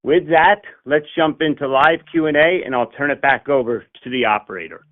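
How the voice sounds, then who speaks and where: A man's voice: a little high, normal speed. A man speaking as a company chief executive, giving prepared call comments in emphatic, punchy phrases. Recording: narrowband conference phone line.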